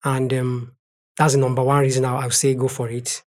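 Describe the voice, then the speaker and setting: A man's voice: medium pitch, completely flat delivery, moderate pace. A man from Nigeria with a Nigerian accent, a native speaker of Igbo and Nigerian Pidgin, answering interview questions as a translator and repeating points for emphasis. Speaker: translator, podcast guest.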